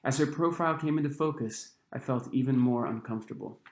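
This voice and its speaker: A man's voice: medium pitch, fairly quick. Man reading his novel in an echoey room. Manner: expressive storytelling, acting out the dialogue. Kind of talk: expressive read-aloud of a young adult novel.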